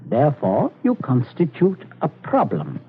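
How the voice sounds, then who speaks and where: An actor playing a ruthless middle-aged father who calmly threatens a man at gunpoint, in 1940s radio drama style. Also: on the high side, unhurried, a little hiss or hum. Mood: menacing calm. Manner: calm, clipped, coldly threatening. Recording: tinny old radio broadcast.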